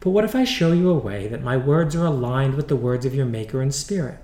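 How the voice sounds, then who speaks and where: Man reading as a sly, lilting gentle goddess. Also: medium pitch, expressive, a little fast, roomy sound, natural voice. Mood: coaxing. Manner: sing-song, lilting, persuasive. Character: sly, gentle, forgiving goddess of everything else.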